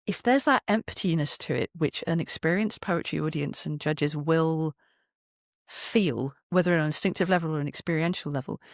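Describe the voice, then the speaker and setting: A woman's voice: deep voice, no room sound, studio quality. A woman in her fifties talking conversationally as an interview guest, articulate and chatty, often acting out quoted remarks. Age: 50s. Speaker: interview guest, performer and poet.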